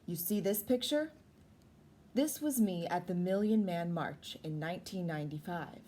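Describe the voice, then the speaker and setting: A woman's voice: on the low side, normal speed, mellow, close to an everyday voice. Woman reading as a patient storybook father. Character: patient father explaining protest to his children. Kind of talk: picture book read-aloud. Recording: noisy background.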